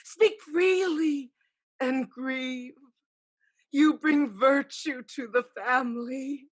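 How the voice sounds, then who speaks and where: A woman playing an eerie ancestral spirit who is secretly a scheming sorceress, calling in a drawn-out, incantatory way in a staged reading. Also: high-pitched, heavily acted. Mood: solemn, coaxing.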